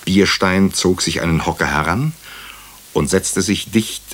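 An actor playing a wry hospital doctor who narrates a crime case in a radio drama, dry and ironic. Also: male voice, low voice, unhurried, a bit bright. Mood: measured narration.

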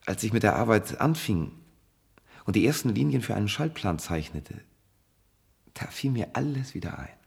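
A driven, haunted inventor in a 1970s radio drama. His mid-pitched voice is breathy and rough with creak, and it swings widely in pitch. He speaks in intense, halting, insistent phrases. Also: very animated, full character voice. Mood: brooding, haunted. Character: haunted obsessive male inventor, pleading to retry his failed experiment.

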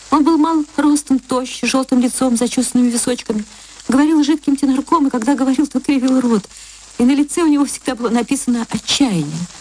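A woman reading literary prose as a radio narrator, in an expressive, measured storytelling manner. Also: a little high, slight background noise, poor audio. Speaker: narrator, stage actress.

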